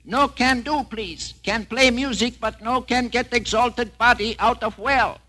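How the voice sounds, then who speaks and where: A timid musician answers in a thin, high, overly polite voice. His tone sounds pinched and unlike a natural speaking voice. He speaks in short broken phrases, eager to please, like a storybook character. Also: measured pace, sharp tone, exaggerated voice. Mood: deferential. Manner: high deferential broken speech.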